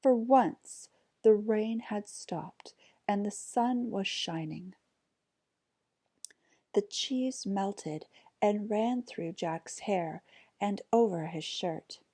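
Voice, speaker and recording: A woman reading a folk tale aloud as an expressive storyteller. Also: mid-range voice, extremely slow, pauses often.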